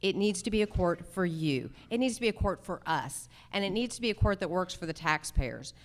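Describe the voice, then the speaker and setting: A woman candidate for judge speaking formally at a forum, deliberate, articulate and emphatic. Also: on the low side, expressive. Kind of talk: formal forum answers, measured campaign speech.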